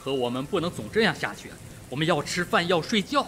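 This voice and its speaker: A man's voice: on the high side, very animated, fast, a bit bright. A man playing an overwrought, guilt-ridden doctor in a Mandarin radio mystery, fretful in manner. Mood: panicked. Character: panicky, guilt-ridden male doctor, a reformed drunkard.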